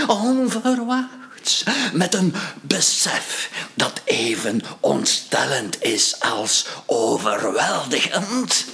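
A man's voice: high-pitched, bright, forceful tone, exaggerated voice. An actor playing a haunted, obsessed man in a radio play, delivering an ominous theatrical warning with whispers and outbursts. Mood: mocking, ominous. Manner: ominous, dramatic, slipping into breathy whispers and outbursts. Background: music soundscape.

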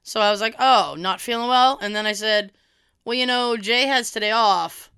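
A young woman, hoarse from a cold, telling a story in casual chat and acting out quoted dialogue with a swear now and then. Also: a little high, moderate pace, sharp tone, no echo, clean studio sound.

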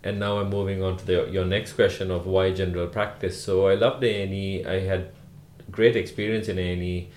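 A man from Sri Lanka, a rural GP, answering podcast interview questions in an unhurried way with many "uh" fillers. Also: low voice, little pitch movement, darkish tone, roomy sound, a little hiss or hum. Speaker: guest, rural GP.

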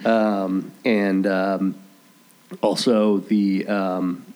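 A man's voice: low voice, flat delivery, very slow, a little mellow. Rambling man hosting local radio. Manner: rambling, lots of fillers and restarts. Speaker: radio show host. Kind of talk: casual chat.

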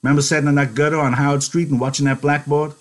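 A man voicing a small, angry ranch hand from a novel, scolding in rough rural slang. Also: a little high, flat delivery, rapid-fire, voice pushed up, close to an everyday voice. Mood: exasperated scolding. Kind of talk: dramatised novel reading.

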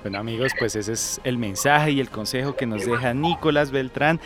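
A male interviewer hosts the conversation with encouraging, affirming comments and a polished closing sign-off. His pitch sits in the middle range for a man. Loud music runs under everything he says. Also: normal speed. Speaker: interviewer, web show host. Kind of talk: interview hosting.

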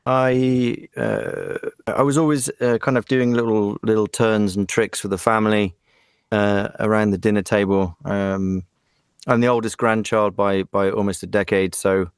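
A man's voice: fairly low pitch, steady tone, unhurried, clear, resonant tone, no echo, clean studio sound. A man who grew up in England, with an English accent, speaking as a voice actor guest on a podcast in a rambling, self-deprecating way with many fillers. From Germany, raised in England. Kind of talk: podcast interview.

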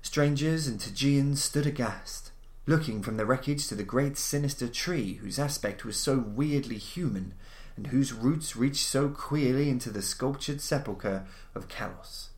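A man's voice: medium pitch, a little slow. Echoey man reading a literary tale. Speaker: audiobook-style narrator of classic horror stories. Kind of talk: literary story reading, scripted narration. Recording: roomy, echoing voice recording.

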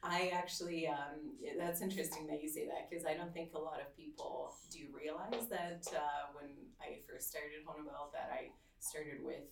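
A woman in her thirties from Slovakia, a swimwear designer, talking in a casual interview with many ums and soft laughs. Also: deep voice, steady tone, normal speed, vocal fry, few pauses, roomy sound, distant mic, slight background noise. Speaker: swimwear designer and business owner.

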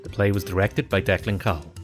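Fairly deep male credits announcer. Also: quick pace, soft background music. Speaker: closing announcer. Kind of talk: scripted credits narration.

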